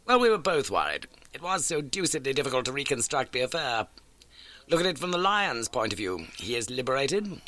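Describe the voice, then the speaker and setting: A man performs a keen, masterful detective from an audiobook, pitched up and swooping with theatrical relish. The delivery is bright, brisk and emphatic, every point put incisively. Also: sing-song. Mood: animated puzzling. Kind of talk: audiobook character dialogue.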